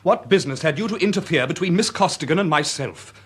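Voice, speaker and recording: An actor playing a proud, lovesick young English gentleman with formal, impassioned diction in a period radio drama. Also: fairly high pitch, expressive, fast talker, slightly rough. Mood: indignant, defiant. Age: young adult. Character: lovesick, proud, hot-headed young gentleman, indignant at meddling.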